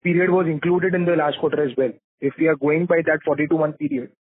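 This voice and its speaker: A man's voice: fairly high pitch, steady tone, fast, dry recording. A man putting unscripted questions as a financial analyst on a conference call. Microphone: telephone conference call. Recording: dry, narrow phone line.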